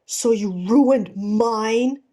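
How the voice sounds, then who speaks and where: Lady reading as fierce hurt pirate captain guy, bitter and taunting, storybook drama, soft dark tone. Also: fairly high pitch, extremely slow. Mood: roaring hurt.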